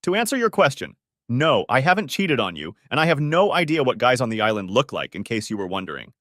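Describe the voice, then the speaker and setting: A man's voice: medium pitch, big pitch swings, fairly quick, fairly bright tone, no echo, clean studio sound. A man voicing an agitated, defensive wife in a dramatic story reading. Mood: indignant. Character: Carol, agitated defensive wife in a troubled marriage. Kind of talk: audiobook-style dramatic reading of dialogue.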